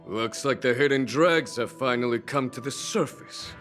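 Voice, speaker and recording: A man plays a calm male general from an anime game, resolute and grave. His medium-pitched voice moves deliberately over a musical backing. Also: soft background music, natural voice.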